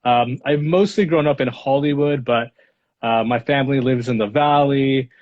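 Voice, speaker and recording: Man in his 30s, Spanish his first language, thoughtful and hedging guest, mid pitch, dull audio. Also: normal speed. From Los Angeles. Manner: reflective, hedging with many fillers. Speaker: guest speaker, lawyer. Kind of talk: casual interview answers.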